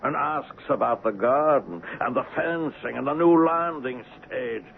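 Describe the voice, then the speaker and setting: An actor playing an insolent, cynical assistant at a jungle trading post in a radio drama, needling and sarcastic. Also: male voice, medium pitch, measured pace, gravelly. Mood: mocking. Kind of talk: 1970s radio drama acting. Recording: muffled, noisy old radio broadcast.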